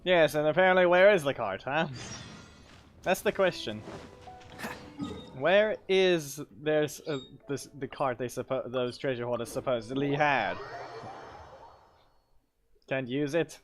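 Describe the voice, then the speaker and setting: A man streaming a game, commenting casually in an offhand, halting way. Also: a little high. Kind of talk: casual live gameplay commentary. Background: game music.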